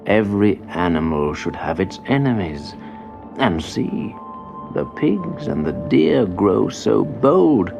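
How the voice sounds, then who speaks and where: A man voicing a calm, wise old grandfather in a storybook, solemn, slow and measured. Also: on the low side, gravelly, dull audio, over music, heavily acted. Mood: grave, instructive.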